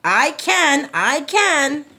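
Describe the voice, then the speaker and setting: A cheeky storybook rice-ball man, played by a woman, crows his taunts in a lively, raised singsong. He is gleeful and mocking, chanting in Pidgin phrasing. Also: sits high, drawn-out words, pitched above the performer's own voice, full character voice. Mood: gleeful taunting. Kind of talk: children's storybook read-aloud.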